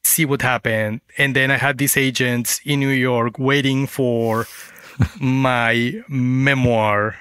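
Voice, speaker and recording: Bright-voiced Mexican man, clear and close. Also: medium pitch, fairly even intonation, takes it slowly, no room sound. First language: Spanish. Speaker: guest author. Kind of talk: conversational interview storytelling.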